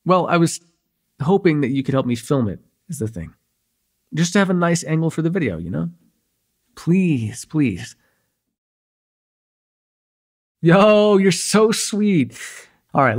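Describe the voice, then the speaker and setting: Man acting a breathy, cocky young male vampire. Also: fairly high pitch, very animated, unhurried, a little mellow. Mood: coaxing. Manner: rambling, playful, slangy, hesitant asides. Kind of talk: immersive ASMR roleplay acting, casual and conversational.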